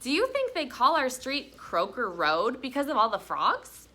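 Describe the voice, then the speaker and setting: A woman voicing an earnest, excitable little boy who is prone to protest, in a children's storybook read-aloud. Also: sits high, moderate pace, exaggerated voice.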